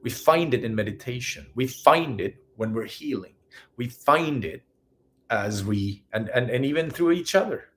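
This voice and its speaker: A man's voice: mid-range voice, normal speed, a little hiss or hum. A man with a Swedish accent speaks to his listeners in an earnest, conversational way. His mid-range voice rises and falls a lot as he talks, and he keeps acting out short everyday scenes to make his points. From Sweden. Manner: earnest, animated, acts out little scenes in quotes. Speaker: spiritual healer and host. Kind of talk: live-streamed spiritual talk.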